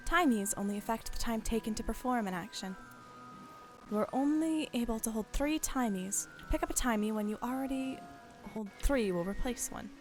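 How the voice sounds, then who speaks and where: A woman's voice: fairly high pitch, moderate pace, soft background music. A woman hosting a casual game stream, informal and sweary as she thinks aloud while playing.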